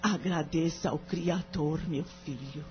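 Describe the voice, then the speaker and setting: An actress as a kindly older Brazilian spiritual healer speaks slowly and devoutly, with humble gentleness. The sound is dull and lo-fi, with music underneath, like a homemade radio play. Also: female voice, deep voice. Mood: gentle, serene. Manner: gentle, devout, unhurried speech. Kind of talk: audio drama acting in Brazilian Portuguese. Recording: dull lo-fi audio with music behind.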